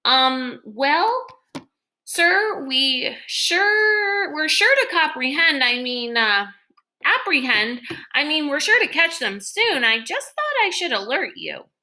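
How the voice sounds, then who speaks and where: A jittery male henchman from a storybook, played by a woman, pitched high and eager to please. He stumbles and hesitates, apologetic and deferential throughout. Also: sing-song, slow pace, sharp tone, full character voice. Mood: flustered.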